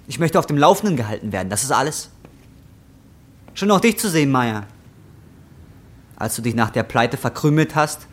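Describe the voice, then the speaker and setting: An actor in a radio drama playing a desperate, embittered young roofer, intense and volatile. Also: male voice, medium pitch, fairly bright tone. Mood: tense, insistent. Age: young adult.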